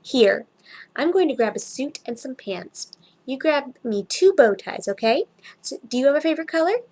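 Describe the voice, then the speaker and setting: A woman voicing a kind, helpful female shop assistant in a story, talking in a gentle, coaxing, reassuring way. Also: sits high, animated, moderate pace. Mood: encouraging.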